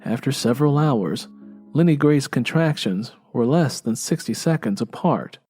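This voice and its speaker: Male storyteller, medium pitch, faint music behind. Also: expressive, normal speed, a little mellow. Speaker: audiobook narrator. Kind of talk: scripted story narration.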